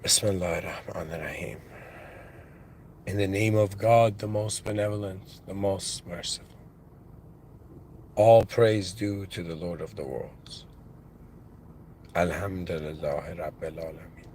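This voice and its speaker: A man with an accent in English speaks slowly and unhurriedly in a low voice, musing aloud about faith and creation. He talks in halting phrases, restarting his sentences often, and mixes in devout phrases. A faint hiss of background noise sits under his voice. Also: fairly even intonation, a little mellow, poor audio. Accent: non-native English. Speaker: channel host. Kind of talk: reflective spiritual monologue.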